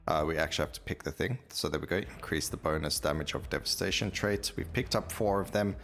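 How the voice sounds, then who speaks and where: A man's voice: very deep voice, fast talker, a bit bright. A man hosting a gaming video, casually thinking aloud and explaining his choices to viewers as he plays. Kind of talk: casual gameplay commentary. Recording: game music behind the voice. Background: video game music.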